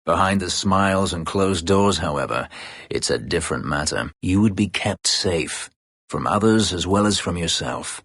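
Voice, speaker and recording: Fantasy game role: embittered ex-slave warrior, deep and husky, guarded and formal. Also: male voice, animated, fairly bright tone, no room sound, studio quality, full character voice. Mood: bitter, measured. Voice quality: low, gravelly, husky. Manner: terse, measured, formal, brooding. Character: brooding, bitter escaped slave warrior, grown man. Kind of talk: dramatic fantasy game voice acting.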